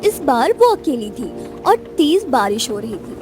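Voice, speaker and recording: Woman narrator, high voice, animated intonation, expressive romantic storytelling, soft music bed. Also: unhurried.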